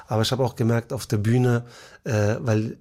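Guest comedian, man in his thirties from Berlin, relaxed and chatty, filler-heavy answers, mid-pitched voice. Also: moderate pace. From Berlin, Germany. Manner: laid-back, laughs easily, many fillers. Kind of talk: casual interview answers.

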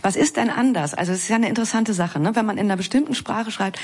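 Fast-talking breathy radio host woman. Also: mid-range voice, darkish tone. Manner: fluent, probing questions. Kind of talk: radio interview.

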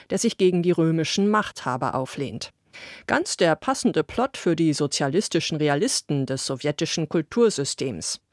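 A woman's voice: fairly low pitch, dry recording, clean studio sound. A woman presenting a classical concert broadcast on the radio, telling the story of the music in a light, engaging way.

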